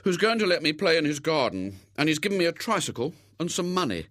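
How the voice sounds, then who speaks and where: A man's voice: a little high, sing-song, fast, a bit bright, dry recording, studio quality, pitched above the performer's own voice. A man reading aloud and voicing a mischievous young schoolboy who talks casually and hesitantly. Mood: offhand.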